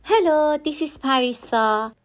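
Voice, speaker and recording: A woman from Iran reads her prepared talk at an unhurried pace. Her delivery is deliberate, as if she is placing each word with care. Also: sits high. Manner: slow, deliberate reading. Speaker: ESOL student. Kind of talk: reading a prepared short talk.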